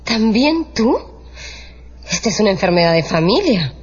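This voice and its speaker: An actress playing a proud, scornful seductress in a radio drama, haughty and theatrical. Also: female voice, mid-range voice, sing-song, moderate pace, breathy edge. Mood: scornful defiant. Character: proud, scornful seductress, cruel to her lovers. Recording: dull radio production with music.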